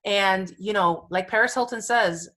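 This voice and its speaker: A woman's voice: medium pitch, measured pace, pushed and bright. A woman co-hosting a casual podcast, chatting in an emphatic way and often repeating her phrases. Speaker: podcast co-host.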